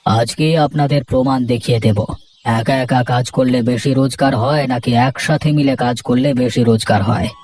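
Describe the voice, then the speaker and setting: A man's voice: mid-range voice, fairly even intonation, a little fast, darkish tone, slight background noise, close to an everyday voice. A man playing an earnest, firm village character in a cartoon, explaining things in a reasoning way. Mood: assured. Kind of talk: animated cartoon dialogue. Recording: music bed behind the voice.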